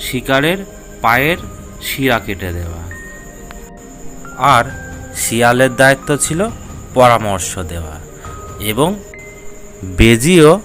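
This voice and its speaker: A man narrating a scripted children's fable in measured, short phrases. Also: mid-range voice, fairly bright tone. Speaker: storyteller, narrator.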